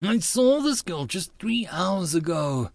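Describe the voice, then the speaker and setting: Man, story narrator, casual asides, lively pitch swings, slow pace, dry close sound. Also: gravelly, no echo. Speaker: narrator of a visual novel read-along.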